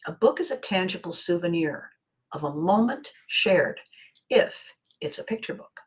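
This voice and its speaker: A woman with a slightly hoarse, scratchy voice hosting a casual motivational monologue, speaking expressively and with emphasis to her viewers. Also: fairly low pitch, a little slow. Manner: expressive, acting out bits of dialogue. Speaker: vlog host.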